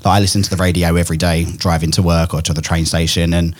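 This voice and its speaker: A man's voice: low-pitched, flat delivery. A man in his thirties from England with a mixed English and Australian accent, chatting casually and joking at his own expense in a podcast interview at home. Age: 30s. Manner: chatty, self-deprecating, quick to joke. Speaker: event host and podcaster.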